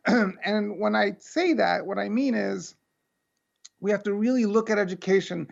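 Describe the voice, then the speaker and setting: A man's voice: high voice, gravelly. An American man giving an earnest, conversational keynote talk over a video call, with muffled, lo-fi call audio. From United States. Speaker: keynote speaker.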